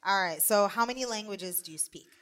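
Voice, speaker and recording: A woman's voice: medium pitch, a little fast, a bit bright. Female podcast host, chatty and reactive. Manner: friendly, frequent "wow" reactions. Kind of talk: podcast interview.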